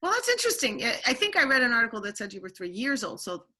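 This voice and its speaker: A middle-aged woman hosting an interview podcast, talking in an animated way with many restarts and asides. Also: a little high, fast. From born in Turkey to an American family. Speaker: podcast host. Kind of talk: conversational interview.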